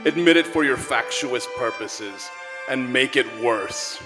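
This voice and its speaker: A solemn, foreboding spirit acted by a man in a radio play. The delivery is slow, declamatory and grave. It rings through a long church reverb over music. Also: medium pitch, lively intonation, natural voice. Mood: grave warning. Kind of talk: live radio play acting.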